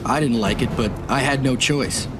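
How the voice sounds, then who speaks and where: An actor voicing a brash young navy officer who narrates his war in a game cutscene over a loud score. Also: medium pitch, normal speed, loud music behind the voice, lightly acted. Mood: wry. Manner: wry, brash retrospective narration.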